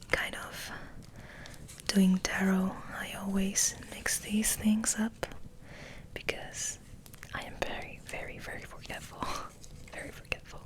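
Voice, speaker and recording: Woman whispering slowly in an ASMR ramble. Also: medium pitch, low-quality recording. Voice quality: soft, breathy whisper. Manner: gentle, unhurried, musing. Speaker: ASMR host.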